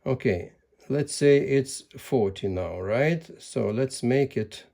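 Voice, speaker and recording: A man giving casual instructional talk as a hobby video host, in a halting way with repeated words. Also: mid-range voice, creaky, mellow. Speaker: amateur radio video host.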